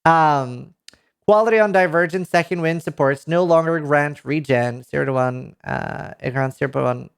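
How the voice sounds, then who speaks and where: A man in his thirties with a Norwegian accent reads patch notes aloud to a live chat, reacting with excited outbursts and casual swearing, into a headset mic. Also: a little high, normal speed, gravelly, clean tone. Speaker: streamer. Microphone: headset mic at a desk.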